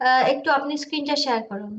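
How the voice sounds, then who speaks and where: A woman's voice: sits high, very fast, fairly bright tone. A woman presenting casually to an online group, with a slightly nervous, hesitant manner. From India. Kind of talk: casual screen-share presentation. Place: online group video call. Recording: echoey, muffled online call.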